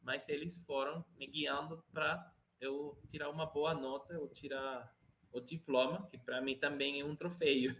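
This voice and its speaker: A man's voice: on the high side, fairly even intonation, measured pace, fairly bright tone. Venezuelan man, Spanish native, interview guest on a call; hesitant, searching for words, light room noise. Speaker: interview guest, language learner. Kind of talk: casual interview chat.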